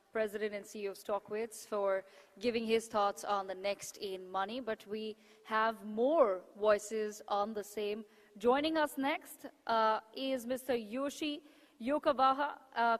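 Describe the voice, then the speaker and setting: A woman hosting a conference, making formal announcements from the stage. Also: on the high side. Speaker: event host. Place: large conference hall stage. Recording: echoey hall with long reverb.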